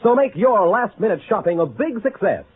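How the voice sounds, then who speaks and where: A man's voice: big pitch swings, brisk. A man reading a 1940s radio advertisement as a polished, emphatic announcer. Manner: polished, emphatic sales pitch. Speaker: commercial announcer. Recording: tinny old radio recording, faint noise.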